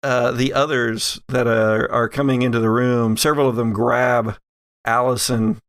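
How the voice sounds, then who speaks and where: A man's voice: medium pitch, level tone, moderate pace, fairly bright tone, no room sound, studio quality. A man narrating a tabletop horror game as its game master.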